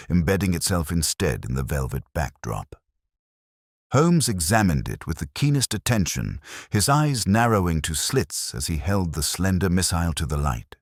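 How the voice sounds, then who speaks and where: A man's voice: a bass voice, dry recording, studio quality. A man reading a scripted dramatic story as narrator, in a formal, solemn, literary manner. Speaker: audiobook narrator.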